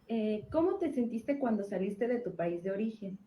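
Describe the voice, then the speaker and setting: An echoey, slightly noisy recording of a woman interviewer. Her voice is soft-toned and mellow as she asks brief, gentle questions. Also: mid-range voice, very animated, moderate pace. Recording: roomy, faintly noisy played-back video.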